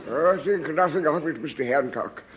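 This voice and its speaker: An actor with an English accent playing a mild, polite, easily flustered vicar in a 1950s radio sitcom. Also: male voice, a little high, expressive, moderate pace, background noise, close to an everyday voice. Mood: polite, pious. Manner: polite, hesitant, sententious.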